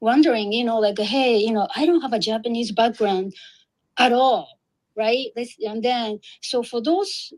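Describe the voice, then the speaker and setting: Woman cohost, higher voice, polite, kinda thinks out loud w lots of you know. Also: measured pace, rough recording. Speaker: co-host. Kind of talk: casual conversation.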